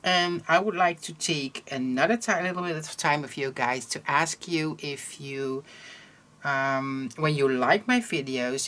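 A Dutch woman with a Dutch accent hosting a crochet tutorial in English, her second language, talking casually and pausing often with ums as she searches for words. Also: low voice, a bit bright. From Netherlands. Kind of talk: casual instructional talk. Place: indoors at a table, hands over crafts.

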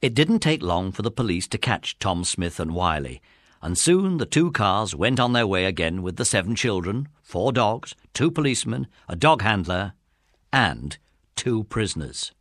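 Very animated British male storyteller. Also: fairly low pitch, normal speed, no room sound, clean studio sound. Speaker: narrator. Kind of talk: scripted children's story narration.

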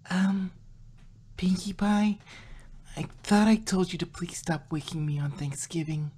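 A woman voicing a timid, gentle, polite young female pony in a fan audio drama, soft and hesitant. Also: lowish voice, a little slow, slightly rough. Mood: meek, pleading.

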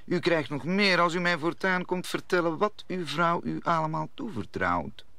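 A man's voice: fairly high pitch, lively intonation, normal speed, gravelly, bright, forceful tone, dry recording, exaggerated voice. A man playing a scheming, authoritative cardinal in a radio play, formal and commanding. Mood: smooth, calculating.

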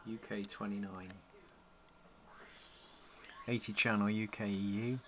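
A man giving a casual, halting walkthrough as a hobbyist showing off his gear. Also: lowish voice, little pitch movement, fairly bright tone. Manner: halting, pausing between short phrases. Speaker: CB radio hobbyist presenting a product demo. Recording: home camcorder with noticeable background noise.

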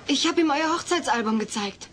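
High-voiced chirpy teenage daughter. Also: female voice, expressive, brisk, fairly bright tone, slight background noise. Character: bright, helpful teenage daughter playing hotel receptionist. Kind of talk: dubbed sitcom audio play acting.

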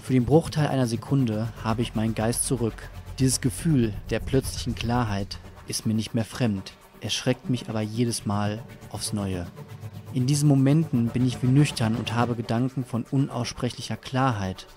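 A man's voice: mid-range voice, steady tone, normal speed, warm tone, close to an everyday voice. An actor playing a tormented, disoriented man, narrating his nightmare dramatically in an audio drama. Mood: anguished, brooding. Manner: brooding, dramatic. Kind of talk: scripted first-person audio drama narration. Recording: music under the voice.

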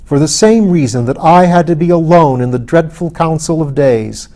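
Earnest male champion of order performed by a man, fervent, oratorical, storybook hero, raised pitch. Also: expressive, slow pace, a little mellow. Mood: fervent, indignant.

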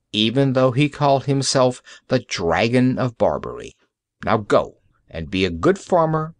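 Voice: mid-range voice, no echo, studio quality, voice pushed up. A reader playing a stern storybook doctor. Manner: firm, commanding. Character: stern, kindly male doctor who talks to animals.

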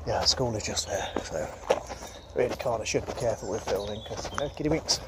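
A man's voice: fairly low pitch, fairly quick. Man, casual vlog commentary, outdoors, phone audio, heavy background noise. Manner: rambling, relaxed, thinking aloud. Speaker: vlogger. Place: woodland outdoors. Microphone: handheld phone. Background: traffic noise.

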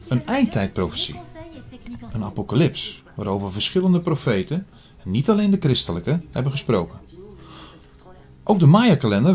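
Man playing an earnest priest, audio drama narrator, mid-pitched, measured and formal, music underneath. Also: darkish tone, close to an everyday voice. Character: earnest male priest investigating an apocalyptic prophecy. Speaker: narrator and lead actor. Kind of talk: scripted audio drama, first-person narration.

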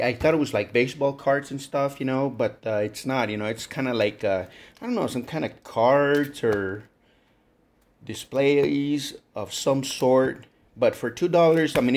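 A man with a mid-range voice talks casually to his viewers as a channel host. His delivery is folksy and rambling, like informal shop talk from an online reseller. He speaks from behind a handheld camera indoors. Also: medium pitch. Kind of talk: casual vlog chat to viewers. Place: indoor workshop.

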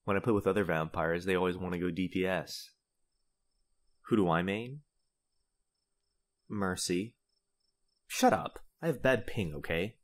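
A man's voice: deep voice, measured pace, long pauses. A man playing a grumpy, easily flustered vampire in a comedy audio roleplay, sarcastic and huffy in manner. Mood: friendly, awkward. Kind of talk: comedy ASMR roleplay acting.

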